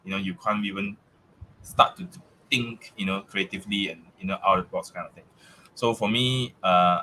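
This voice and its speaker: Halting Malaysian-accented man, bright voice. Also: on the low side, slight background noise, poor audio. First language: not English. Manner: halting, many restarts and fillers. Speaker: guest, tech staff member. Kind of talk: casual podcast chat.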